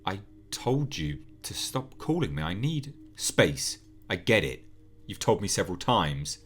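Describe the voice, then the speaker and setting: A man playing a tormented shouting man. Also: deep voice, very animated, unhurried, husky, soft background music, exaggerated voice. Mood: angry. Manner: shouting, frantic. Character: tormented, unravelling young man.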